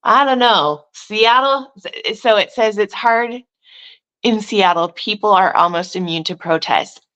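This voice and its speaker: A woman in casual conversation, thinking aloud with restarts and asides, who says she has a loud voice. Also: medium pitch, unhurried, muffled recording. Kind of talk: casual chat.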